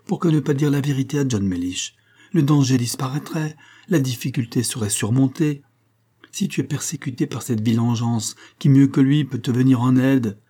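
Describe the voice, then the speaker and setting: A man voicing a gentle, anxious old banker who dotes on his daughter, in an audiobook story. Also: medium pitch, moderate pace, husky, voice pushed up, natural voice. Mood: urgent pleading. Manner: tender, earnest, pleading.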